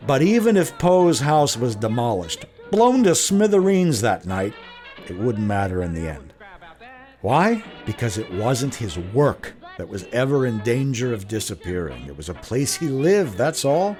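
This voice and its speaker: A man's voice: medium pitch, very animated, measured pace, a little mellow, faint music behind. An actor playing a blunt, wry older Irish-American man telling a rambling, slangy yarn in a radio drama. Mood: wry, defiant. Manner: slangy, chatty, rambling with self-corrections and chuckles. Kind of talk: radio drama narration, folksy first-person yarn.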